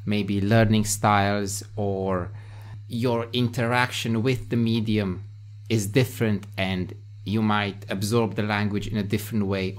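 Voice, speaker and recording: A man in his thirties from Romania, a native Romanian speaker, hosting a podcast over a video call in animated, quick bursts. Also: fairly low pitch. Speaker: podcast host. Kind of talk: casual video-call chat. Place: home room with bookshelves, indoors. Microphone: headset on video call.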